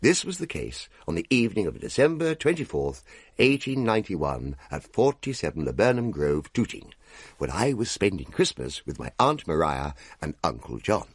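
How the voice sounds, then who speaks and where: An actor playing a pompous, aggrieved young Victorian English gentleman telling his tale theatrically in a radio drama. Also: low-pitched, very animated, moderate pace, slightly rough, no room sound, clean studio sound, heavily acted. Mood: pompous, wry. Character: pompous, aggrieved young Edwardian gentleman narrator, big eater.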